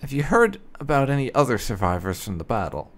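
A man's voice: medium pitch, very animated, a little fast. A man giving casual, wry commentary as he hosts a roleplay video game playthrough. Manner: wry, sarcastic asides. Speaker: gamer, host of a roleplay playthrough.

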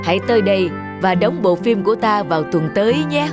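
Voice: mid-range voice, expressive, fairly quick, close to an everyday voice. An actor playing a kindly film director in a cartoon, speaking in a reassuring, encouraging manner. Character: kindly grown male film company director. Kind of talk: animated moral tale dialogue. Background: background music.

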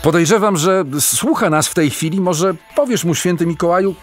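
An actor playing a polite, hesitant host of a live radio phone-in in a radio play. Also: male voice, high-pitched, normal speed, soft background music. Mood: amazed, grateful. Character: polite, amazed radio call-in show host.

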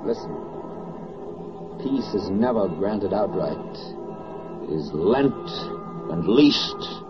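An actor playing a solemn, stirring American narrator in a 1940s radio drama, declamatory and emphatic. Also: male voice, medium pitch, lightly acted. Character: solemn, stirring male narrator addressing soldiers and sailors. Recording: dull old 1940s radio recording.

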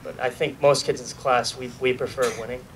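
A man's voice: medium pitch, level tone, a little fast. Young man giving a graduation speech. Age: young adult. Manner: earnest, lightly joking, reads from notes. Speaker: student speaker. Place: outdoor graduation ceremony at a podium. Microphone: podium mic filmed from a far camera. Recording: distant outdoor recording with noise.

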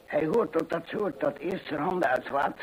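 An actor playing a chatty country innkeeper in an old radio play, nervously apologetic, hesitant and deferential. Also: male voice, a little high, normal speed, breathy edge. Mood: apologetic, cautious. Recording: noisy old radio recording.

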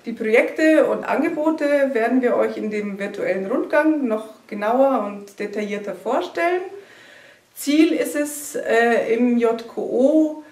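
A woman's voice: a little high, unhurried, a little mellow. Formal woman in an echoey room. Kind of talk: scripted presentation to camera. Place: room in front of a banner. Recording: echoey room, mic at a distance.

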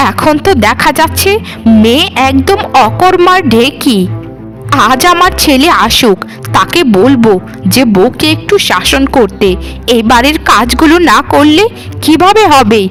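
A woman's voice: sits high, fairly bright tone, heavily acted. A woman voicing a domineering older mother-in-law in a cartoon, with a scolding, demanding manner. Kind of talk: animated cartoon dialogue.

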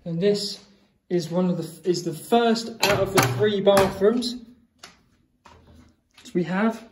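A man's voice: high voice, takes it slowly, slight background noise. A young man filming a chatty, meandering tour of a small holiday cottage on a handheld phone, walking from room to room. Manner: chatty and meandering, with fillers and self-corrections. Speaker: vlogger. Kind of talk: casual house tour. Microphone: phone camera held in hand.

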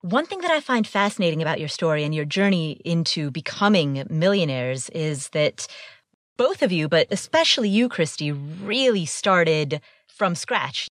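Woman, from Ohio, podcast host, expressive pitch, dry close sound. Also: normal speed, no room sound. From Ohio, USA. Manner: lively, expressive questioning. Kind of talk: scripted intro and interview questions.

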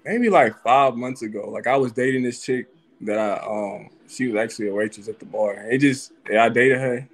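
A man's voice: mid-range voice, moderate pace. A man in his twenties from Texas, talking in a slangy, laid-back way on a live video call. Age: 20s. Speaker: caller. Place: small indoor room. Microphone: video call, phone or laptop.

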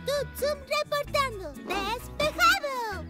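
A bold, excitable little kid motorcycle in a children's cartoon. The voice is extremely high and exclamatory, drawn out slowly, over loud music. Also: exaggerated voice. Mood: excited, cheeky.

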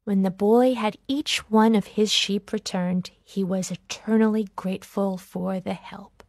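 A woman narrating a children's read-along storybook with careful, deliberate phrasing. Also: mid-range voice, steady tone, a little mellow, dry recording, studio quality.

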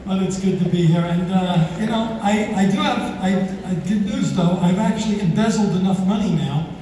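A man in his sixties doing a stand-up comedy routine on a theater stage, with a deadpan, self-mocking delivery. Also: high-pitched, moderate pace. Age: 60s. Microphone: handheld mic, filmed from far in the audience. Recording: echoey hall with crowd, from far off. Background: audience laughter and applause.

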